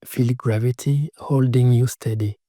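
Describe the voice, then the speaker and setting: Very dark, mellow male meditation guide. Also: medium pitch, steady tone, dry recording, studio quality. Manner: soothing, measured short phrases. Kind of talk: scripted guided meditation, calming instructions. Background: singing bowl tones.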